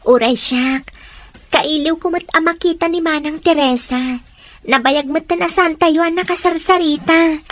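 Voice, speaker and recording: Very high-pitched dutiful young daughter. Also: female voice, normal speed, no echo. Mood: earnest, caring. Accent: Ilocano. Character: Hana, dutiful studious young daughter and college student. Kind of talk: Ilocano radio drama acting.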